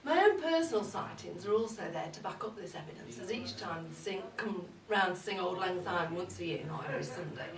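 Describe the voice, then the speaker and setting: A woman's voice: fairly low pitch, lively intonation, brisk, few pauses. A woman playing a cheeky, boozy older mother-in-law, gossiping in a wry, bawdy, confiding comic monologue. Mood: gossipy, cheeky. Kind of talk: comic dramatic monologue read to a live audience. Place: indoor live event at a pub. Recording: roomy, distant live recording with audience noise. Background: audience crowd.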